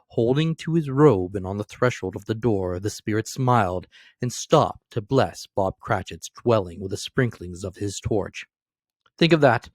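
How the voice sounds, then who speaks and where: An expressive man gives a theatrical reading of a classic story. His voice sits at an ordinary pitch, and the sound is dry and close. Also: dry recording. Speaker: narrator.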